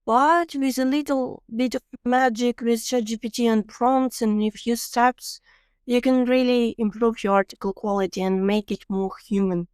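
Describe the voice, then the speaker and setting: A woman's voice: on the high side, steady tone, normal speed, a little mellow, clean tone, dry recording. A woman with a Ukrainian accent, a non-native English speaker hosting a podcast interview, explaining tips earnestly and laughing easily. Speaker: podcast host.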